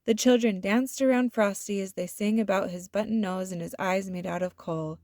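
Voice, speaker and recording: Woman narrator, children's read-aloud, expressive, deliberate, medium pitch, close dry recording. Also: no echo. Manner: deliberate, animated storytelling. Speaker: storybook narrator.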